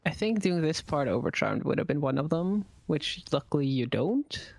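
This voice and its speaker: A man co-commentates a live speedrun for an online audience. His voice is somewhat high, with a lively, swooping melody and a gravelly edge, and he keeps the talk going with curious questions. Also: normal speed. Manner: chatty, asks questions, laughs often.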